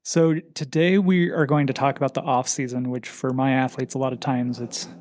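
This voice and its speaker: A man hosts a training podcast in a mid-pitched, ordinary voice. He asks friendly questions that drift and restart before landing. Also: level tone, moderate pace, darkish tone. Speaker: podcast host, cycling coach. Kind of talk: conversational podcast interview.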